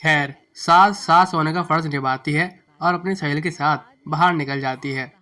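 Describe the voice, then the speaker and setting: A man's voice: fairly high pitch, steady tone, moderate pace, pushed and bright, slight background noise. A man narrating a scripted moral bedtime story. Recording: music bed under the voice.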